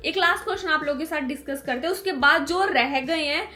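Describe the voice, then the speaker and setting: Bright high-voiced woman in her twenties. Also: quick pace. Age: 20s. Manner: lively, chatty, answers viewer questions. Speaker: vlogger. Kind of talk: casual couple vlog chat.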